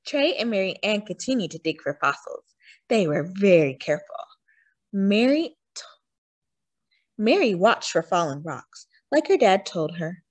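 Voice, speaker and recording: A woman reading a children's picture book aloud in an expressive storytelling manner, drawing out words for emphasis. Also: mid-range voice, no room sound. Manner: animated storytelling with drawn-out emphasis. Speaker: storytime reader.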